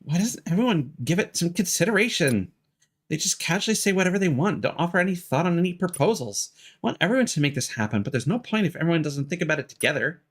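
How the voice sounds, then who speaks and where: A man's voice: a little high, expressive, fast. A man voicing earnest teenage schoolgirl characters as he reads out the dialogue of an anime fantasy game. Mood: earnest, frustrated.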